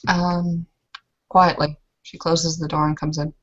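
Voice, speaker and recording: A woman improvising narration for a roleplaying game in a halting, deliberate way. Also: sits low, flat delivery. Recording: dull, lo-fi audio.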